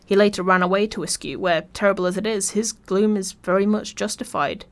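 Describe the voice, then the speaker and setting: A woman's voice: mid-range voice, fairly even intonation, fairly quick. A woman reading scripted video essay narration in a wry, informal way.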